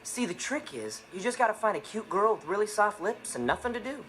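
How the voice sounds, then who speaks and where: A man's voice: high-pitched, big pitch swings, brisk, background noise. An actor playing a cocky, laid-back teenage boy with an American accent in breezy comedic monologues. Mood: self-assured. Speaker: sitcom lead. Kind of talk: scripted comedic monologue to camera. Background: music.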